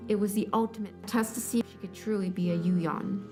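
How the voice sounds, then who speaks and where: A woman's voice: medium pitch, steady tone, a little fast, warm tone, soft background music. A woman reading scripted story narration as the narrator of an audio drama.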